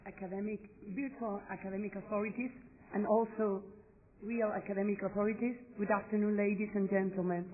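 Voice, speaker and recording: Hoarse, halting Spanish-accented speaker. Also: medium pitch, a little hiss or hum. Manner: halting, with many restarts. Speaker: law school representative welcoming a conference. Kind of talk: formal welcome speech. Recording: tinny phone-like recording.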